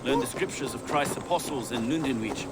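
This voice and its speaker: A man's voice: mid-range voice, brisk, heavy background noise, close to an everyday voice. A man playing an affable, dutiful medieval London reeve in a video game. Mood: affable, wry. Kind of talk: video game cutscene acting.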